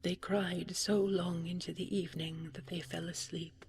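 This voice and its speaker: A woman narrating a scripted bedtime fairy tale as a sleep aid, in a hushed, breathy, soothing manner. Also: fairly low pitch, level tone, moderate pace. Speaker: audio roleplay storyteller.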